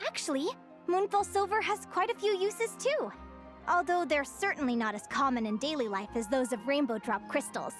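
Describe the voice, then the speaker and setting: A woman voicing a gentle, scholarly young storyteller in a video game, speaking with measured patience over music. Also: sits high, close to an everyday voice. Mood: gentle, amused. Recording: music playing behind the voice.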